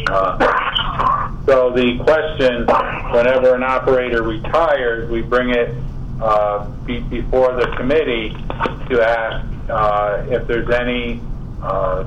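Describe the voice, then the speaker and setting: A man's voice: mid-range voice, a bit bright. Guy reporting to a committee over a muffled phone line, lots of uhs and restarts, faint hiss. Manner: halting, self-correcting, many fillers. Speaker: committee member giving a report. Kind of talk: casual meeting talk on a conference call.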